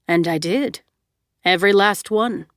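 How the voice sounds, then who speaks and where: A woman voicing a remorseless male villain who speaks in a low, soothing, unnervingly calm voice. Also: a little slow, no echo, clean studio sound, natural voice. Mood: cold calm.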